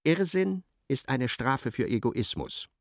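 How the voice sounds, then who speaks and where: A man narrating a literary radio drama in a measured, articulate, storytelling way. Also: mid-range voice, expressive, creaky, dry recording, clean studio sound, full character voice.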